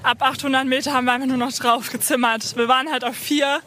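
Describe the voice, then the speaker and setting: Give me a young woman athlete just off the water, very high and bright, laughing through her answers. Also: fast talker. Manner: excited, laughing, breathless rush. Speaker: athlete interviewed. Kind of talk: post-race interview.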